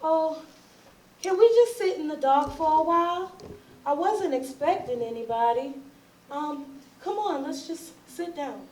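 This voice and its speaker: A woman's voice: high voice, measured pace, darkish tone. A woman playing a guarded, wistful, unhappy forty-year-old in a readers theatre drama, speaking hesitantly in folksy phrases. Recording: roomy, distant, noisy recording.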